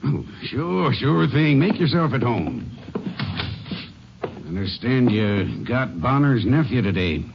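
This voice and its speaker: An actor playing a gruff Texas farmer in a 1950s radio drama, blunt, rustic and quick to bristle. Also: male voice, medium pitch, very animated, heavily acted. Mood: casual, surprised. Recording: muffled old radio recording, faint noise.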